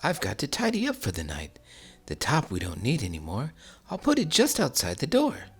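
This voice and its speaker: A man voicing a calm, practical mother bear in a storybook reading, softer than his narration. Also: mid-range voice, normal speed, slightly rough, voice pushed up, heavily acted.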